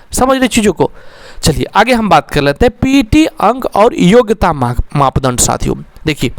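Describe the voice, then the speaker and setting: A man's voice: sits high, no echo. A man with a Bihari accent giving an instructional, motivational exam-preparation explainer as a coach, often checking in with his listeners. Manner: addresses listeners as companions, with frequent check-in tags.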